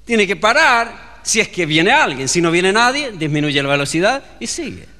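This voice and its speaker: Chilean preacher, bright and lively, in a hall. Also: male voice, high voice, normal speed. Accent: Chilean Spanish. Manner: storytelling preacher, acting out dialogue. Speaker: pastor. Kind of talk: sermon.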